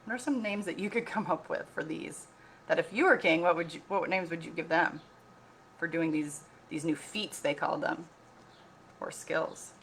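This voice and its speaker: A woman reading a picture book aloud as a teacher, expressive and instructive, often pausing to put questions to listeners. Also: on the low side, slight background noise. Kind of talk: read-aloud lesson for children with questions to listeners.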